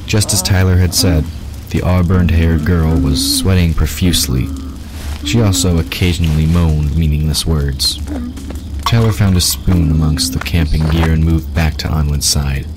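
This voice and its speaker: A man's voice: extremely low pitch, background noise. A man reading scripted fiction as the narrator of an audio drama.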